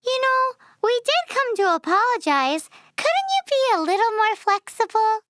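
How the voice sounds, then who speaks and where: A woman's voice: very high-pitched, very animated, moderate pace, a bit bright, dry recording, studio quality, heavily acted. A woman voicing a cheerful, stubborn, creative teenage girl chef in a video game, bubbly and emphatic. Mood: stubborn, firm. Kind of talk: animated game voice acting.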